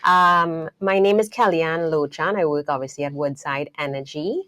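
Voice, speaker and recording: A woman in her thirties from Trinidad and Tobago with a Trinidadian accent, a podcast guest telling her career story in a thoughtful, unhurried way with many fillers. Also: deep voice, fairly bright tone. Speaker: guest engineer. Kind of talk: podcast interview.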